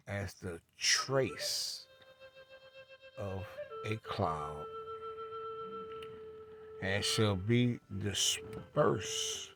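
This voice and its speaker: A man reads scripture at an extremely unhurried pace and adds his own commentary. His voice sits slightly low. He breaks sentences into short, deliberate pieces with long gaps between them. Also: vocal fry. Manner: deliberate, halting phrasing with long pauses. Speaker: religious reader and commentator. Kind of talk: slow scripture read-along with commentary.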